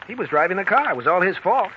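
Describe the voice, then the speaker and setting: A man's voice: high voice, very animated, very fast. An actor playing a wronged, earnest and embittered man in a 1940s radio drama, urgent and clipped. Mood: indignant. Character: wronged, indignant man, earnest and increasingly bitter. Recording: muffled, hissy old radio recording.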